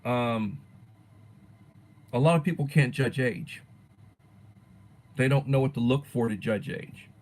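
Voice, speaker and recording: A man in his sixties, a teacher chatting casually on his own livestream and answering viewers, rambling and letting his sentences fade softly at the ends. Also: medium pitch, takes it slowly, pauses often. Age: 60s. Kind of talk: casual livestream chat reading viewer comments.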